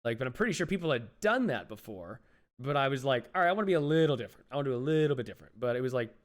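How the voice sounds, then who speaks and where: A young adult man hosting a podcast, chatting loosely, with lots of restarts and fillers and his voice often trailing off quietly. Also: medium pitch, very animated, very fast. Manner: rambling, many restarts and "like", drops to quiet mumbles. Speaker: podcast host. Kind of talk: casual interview chat.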